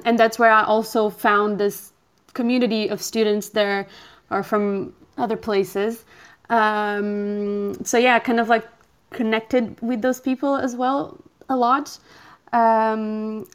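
A woman's voice: medium pitch, clear, resonant tone. A woman in her twenties from Portugal, a native Portuguese speaker, talking as a podcast guest in a hesitant way, with many "um" fillers and easy laughter. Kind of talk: casual interview chat.

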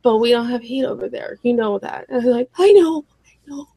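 Female podcast guest, high-set but cold-roughened voice, animated casual storyteller, close dry sound. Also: fairly quick, no room sound. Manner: animated storytelling, acts out exchanges. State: sick, voice deeper and more gravelly than usual. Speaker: podcast guest, small business owner who ships orders. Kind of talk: casual chat.

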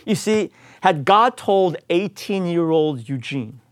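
A man's voice: a little high, lively intonation, takes it slowly, clean tone. A man in his late forties from the United States, preaching a sermon as a pastor in an earnest, emphatic way, returning again and again to repeated refrains. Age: 40s.

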